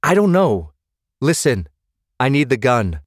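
A man's voice: mid-range voice, very animated, a little slow, dry recording, studio quality, voice pushed up. A man voicing a desperate, strained young man in an audiobook. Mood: pleading. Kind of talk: audiobook character dialogue.

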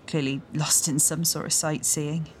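A man voicing a composed mother on the run, calm and reassuring while hiding worry. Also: fairly high pitch, moderate pace, slight background noise, pitched above the performer's own voice, close to an everyday voice. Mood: breezy. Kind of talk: audiobook narration.

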